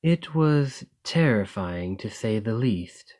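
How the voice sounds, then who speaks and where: A gracious lamia noble merchant, played by a man, talking softly and formally to his servant. His voice sits low and he takes his time, unhurried and calm. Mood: reminiscing. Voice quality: soft, measured and formal. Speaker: boss speaking to the listener. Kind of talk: ASMR roleplay.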